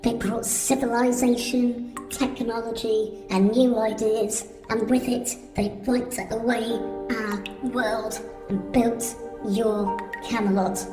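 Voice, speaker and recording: A man voicing a frail, stunted cave-dweller who tells his story in a measured, wistful radio play style. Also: very high-pitched, flat delivery. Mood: bitter. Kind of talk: slow radio play monologue. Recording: echoey hall, music behind.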